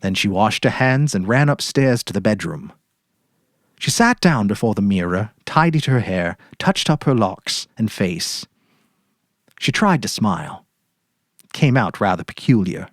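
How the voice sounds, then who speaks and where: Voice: medium pitch, expressive, unhurried, no echo, clean studio sound. A narrator giving a dramatic reading of a story.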